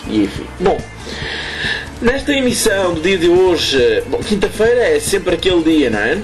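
Hoarse European Portuguese male radio host, fairly high voice, chatty and rambling, faint music underneath. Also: very animated, moderate pace, low-quality recording. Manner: rambling, self-correcting, chuckling asides. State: hoarse from smoke. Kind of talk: casual radio show chat.

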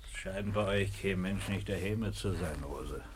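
An actor playing a concerned, plain-spoken village neighbour in a German radio play, solicitous and stubbornly insistent, with a husky voice. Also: male voice, low voice, unhurried, noisy background. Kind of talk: German radio play, naturalistic dialect-coloured acting.

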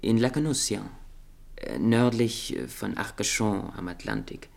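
An actor playing a wry, dejected French intellectual in a German radio play, speaking with irony and some hesitation. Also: male voice, on the low side, animated, unhurried, gravelly. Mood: musing.

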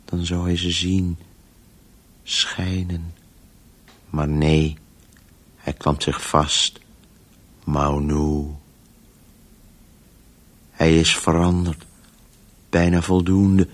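A man acting in an avant-garde radio drama as an obsessive, weary inner voice, urging a story onward in halting, insistent, repeated fragments. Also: deep voice, steady tone.

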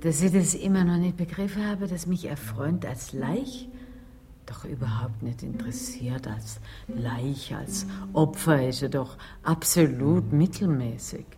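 Slow, dark-voiced lady Death. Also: deep voice, soft background music. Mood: sardonic, musing. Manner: measured, mocking, condescending. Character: Death as a lady, coolly sardonic and world-weary. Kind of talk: radio drama acting.

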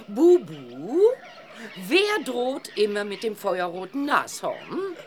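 A woman playing an excitable, bold and cheeky family friend in a children's audio drama, exclamatory, slangy and theatrical. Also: mid-range voice, slow pace, full character voice. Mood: sly, knowing.

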